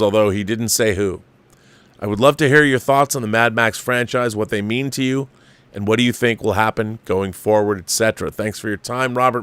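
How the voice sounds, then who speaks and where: A middle-aged American man talks as a livestream host in a medium-pitched voice. He is opinionated and emphatic, often repeating a phrase to drive it home. The sound is dry, with very little room on it. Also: fairly bright tone, no room sound. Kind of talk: casual opinionated movie chat, reading viewer letters aloud.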